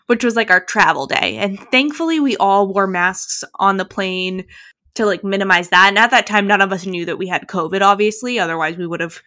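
A woman's voice: medium pitch, a little fast. Chatty young woman telling a story. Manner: animated storytelling, frequent "like" and "literally". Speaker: podcast co-host. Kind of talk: casual chat.

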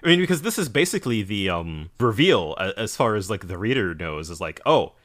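A man co-hosting a casual podcast, enthusiastic and quick to laugh. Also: lowish voice, sing-song, a bit bright. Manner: enthusiastic, laughs often, does goofy quote voices. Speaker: podcast co-host.

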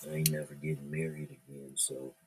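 A middle-aged man hosting his own podcast, talking in a slow, laid-back and profane ramble, musing aloud about whatever is on his mind. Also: extremely low pitch, very animated, gravelly, noisy background. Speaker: podcast host. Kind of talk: casual rambling monologue.

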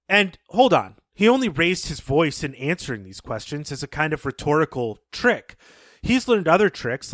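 A man co-hosting a podcast, talking casually and explaining things in a measured, thoughtful way. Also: medium pitch, fairly bright tone, no echo, clean studio sound. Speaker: podcast co-host. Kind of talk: casual podcast talk and story recap.

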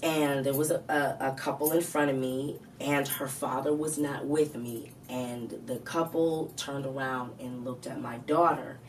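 Low-voiced woman telling stories, a bit distant. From Florida, US South. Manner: animated storyteller, restarts and drawn-out words. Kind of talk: casual group chat. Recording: distant mic with faint noise.

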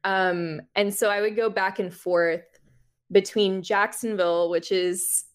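A young American woman chatting as a guest on a podcast. She takes her time, speaking slowly at a medium pitch. Her delivery is informal and meandering, full of conversational detours. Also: fairly even intonation, smooth. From United States (Florida). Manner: rambling, informal, frequent "like". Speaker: podcast guest, music journalist. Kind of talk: casual conversational interview.

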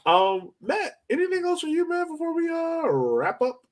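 A man's voice: very high voice, wildly swooping pitch, normal speed. A young man hosting a sports podcast in an informal, slangy, chatty way. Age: young adult. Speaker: podcast host. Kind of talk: casual sports podcast chat.

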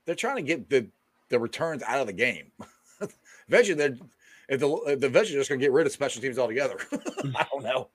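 A man's voice: mid-range voice, lively intonation, quick pace, husky. A man hosting a sports podcast, asking questions and chuckling as he talks. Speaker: podcast host. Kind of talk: casual podcast chat.

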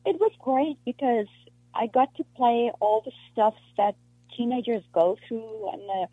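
A woman from South Africa, an actress being interviewed, talking in a halting way with many restarts and fillers. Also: a little high, slightly rough, dry recording. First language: Afrikaans. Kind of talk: casual interview conversation. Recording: muffled lo-fi dry recording.

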